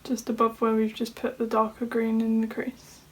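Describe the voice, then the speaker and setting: A woman's voice: fairly high pitch, monotone, moderate pace, husky. Chatty woman, slightly nasal. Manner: chatty, talks through each step. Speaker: beauty vlogger. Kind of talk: casual makeup tutorial chat. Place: home room at a desk.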